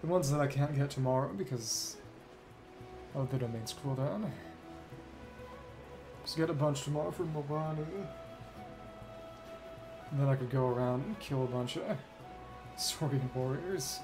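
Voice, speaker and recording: Male game streamer, mid-range voice, musing and halting commentary in short fragments over clearly audible music. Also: medium pitch. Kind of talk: casual gameplay commentary, thinking aloud. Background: video game music.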